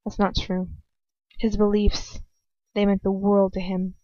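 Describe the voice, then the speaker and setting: A woman reading as a frightened, despairing young woman who argues with herself in a halting, anguished way. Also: mid-range voice, completely flat delivery, warm tone, no room sound, close to an everyday voice. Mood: torn, arguing. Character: frightened, despairing young woman narrating her own inner turmoil. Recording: dull, muffled lo-fi home recording.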